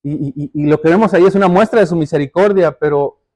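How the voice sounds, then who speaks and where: Male preacher, high-register voice; instructive sermon delivery, direct address, emphatic repeats. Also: fast, a little mellow. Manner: emphatic, repeats phrases for stress; didactic, explanatory, rhetorical questions.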